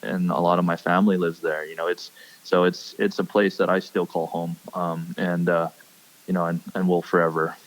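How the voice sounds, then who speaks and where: A young man from Hawaii, a pro angler, chatting as a podcast guest and speaking haltingly with many fillers. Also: sits low, flat delivery, poor audio. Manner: hesitant, many fillers and restarts. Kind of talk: casual podcast chat.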